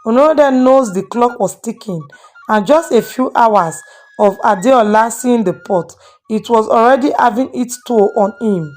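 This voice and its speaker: A woman's voice: a little high, a little slow, darkish tone, faint music behind. A woman storyteller hosting and dramatically narrating a moral tale. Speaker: storyteller and channel host.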